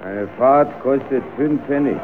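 An actor playing an instructive, easily exasperated carousel ticket seller in an old radio play. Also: male voice, mid-range voice, a little slow, close to an everyday voice. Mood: patient, businesslike. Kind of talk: old radio play, comic dialogue acting. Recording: tinny, narrow-band old radio recording.

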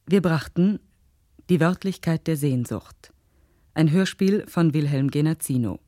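A radio announcer reading scripted credits in a measured, formal manner. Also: mid-range voice, mellow, no echo, clean studio sound.

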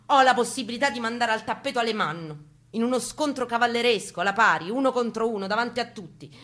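A woman's voice: a little high, quick pace, fairly bright tone. A woman with a Roman accent performing an intense, colloquial spoken-word reading. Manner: intense, colloquial, profane delivery. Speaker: performer reading her piece aloud. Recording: live guitar under the voice. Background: acoustic guitar music.

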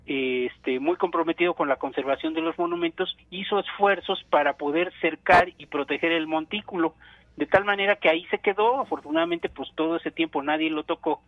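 A man, an archaeologist guest, explaining in a measured way and pausing often with fillers while he finds his words. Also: a little high, no room sound. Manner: measured, thinking aloud with many fillers. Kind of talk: explanatory phone interview. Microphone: telephone. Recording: tinny dry phone line.

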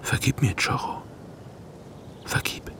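An actor in a German radio play playing an older man mourning his friend, anguished, halting and full of remorse. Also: close to an everyday voice. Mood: broken remorse. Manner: anguished, halting, breaking into whispers and wailing.

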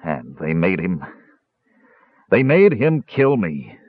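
A man playing a big, gruff, drunken warrior, gloomy and self-pitying, in a fantasy audiobook. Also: low voice, big pitch swings, measured pace, dry recording, phone-quality sound, full character voice. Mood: anguished.

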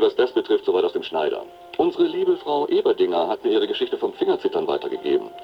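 An actor in a radio drama playing a smug, patronising company superior, pompous, officious and falsely friendly. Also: male voice, deep voice. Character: smug, patronising male company boss or doctor, bureaucratic. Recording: dull band-limited sound, faint music.

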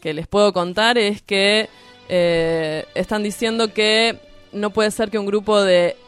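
A woman with a Rioplatense Argentine accent, giving a news column on radio in an informal, halting, chatty way. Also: medium pitch, steady tone, clean tone, faint music behind. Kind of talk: casual news column chat.

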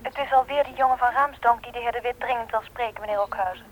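An actress playing a brisk, businesslike office telephonist on the line in a radio play. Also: female voice, fairly high pitch, steady tone, poor audio. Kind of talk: Dutch radio play acting.

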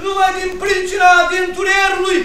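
An actor playing a vain, jealous suitor, loudly declaiming in a radio comedy. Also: male voice, extremely high pitch, monotone, crowd noise. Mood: jealous, offended. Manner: declamatory, shouting. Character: vain, jealous suitor serenading his fiancée. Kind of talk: theatrical radio comedy acting. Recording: far, echoing, with music behind.

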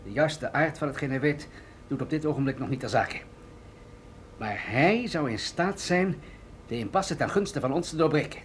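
An actor in a radio drama playing an authoritative military general, grave and deliberate as he explains things. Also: a little high, animated, a little hiss or hum, lightly acted. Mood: earnest, persuasive.

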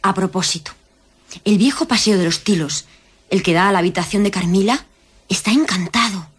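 A woman's voice: medium pitch, moderate pace, breathy edge, close to an everyday voice. An actress playing a gossipy, impressionable young companion who insists on her tales in a period radio drama. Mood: gossipy, insistent.

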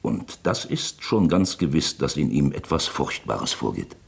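An actor playing a brooding elderly uncle in a radio drama, husky, speaking in a halting, pensive and uneasy way. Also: male voice, very deep voice, very animated, heavily acted. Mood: uneasy, gripped. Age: older.

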